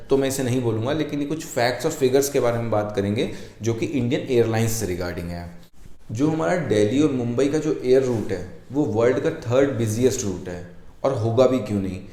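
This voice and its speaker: A man's voice: mid-range voice, dark tone. A man presenting an informal explanatory lesson, mixing English technical terms into his speech. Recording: echoey, roomy sound.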